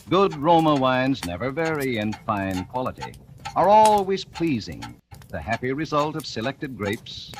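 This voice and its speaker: A male announcer reading a 1940s radio commercial in a smooth, persuasive, emphatic manner. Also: medium pitch. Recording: old noisy radio broadcast.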